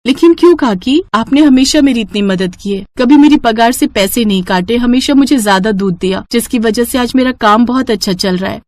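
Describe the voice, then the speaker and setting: A woman's voice: fairly high pitch, a little fast, hardly any pauses, darkish tone, no echo, natural voice. A woman voicing an earnest, grateful young village milk seller in a cartoon story, speaking respectfully.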